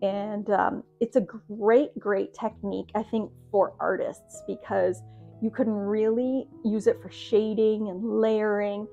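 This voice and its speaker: A woman's voice: medium pitch, level tone, slow pace, gravelly, warm tone, highs cut off, faint music behind. A woman in her forties chatting casually to the camera from her home craft studio, with lots of ums and restarts. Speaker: craft vlogger.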